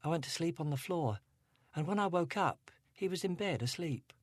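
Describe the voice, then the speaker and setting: A man reading aloud, voicing a grieving, anxious teenage girl in a storybook with a soft, breathy voice. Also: a little high, animated, moderate pace, no echo, studio quality, pitched above the performer's own voice. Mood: upset.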